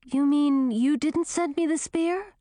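A woman's voice: high-pitched, slow pace, warm tone, no room sound, clean studio sound, pitched above the performer's own voice. A woman voicing an earnest young heroine who talks in an eager, anxious and pleading way.

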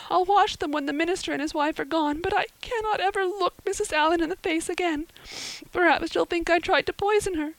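A talkative young orphan girl from a storybook. The voice sits very high and pleads with earnest, breathless melodrama. The recording is close and dry. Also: expressive, fairly quick, no room sound, poor audio. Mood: sobbing despair.

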